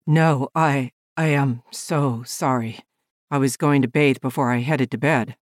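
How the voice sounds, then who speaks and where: A woman's voice: rumbling low, fairly even intonation, unhurried, no echo, clean studio sound. A woman voicing a flustered frontier father who stammers apologies.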